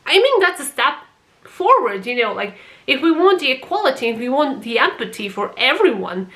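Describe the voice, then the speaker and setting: A woman's voice: high-pitched, big pitch swings, moderate pace, fairly bright tone. A woman from Croatia, not a native English speaker, talking passionately in a casual opinion vlog about a TV series and swearing for emphasis. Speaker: vlogger reviewing a TV series.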